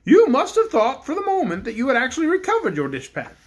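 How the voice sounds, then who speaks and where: A woman voicing a stately, kindly male bear king who speaks in a measured, courtly way. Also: sits high, huge pitch swings, pitched above the performer's own voice.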